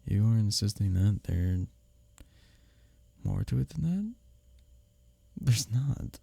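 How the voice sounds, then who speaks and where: A man acts out a male office rival in an intimate ASMR audio drama, secretly smitten beneath the teasing. His low voice is gravelly and mellow, swinging expressively as he speaks earnestly and close to the listener. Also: no echo, heavily acted. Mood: defensive. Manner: expressive, halting confessions with soft chuckles and sighs.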